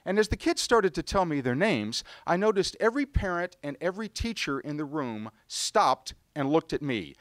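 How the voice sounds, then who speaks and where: Animated middle-aged American man storyteller. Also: fairly high pitch, no room sound, studio quality. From United States. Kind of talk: rehearsed personal story told live on stage. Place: live storytelling stage. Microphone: stage microphone on a stand.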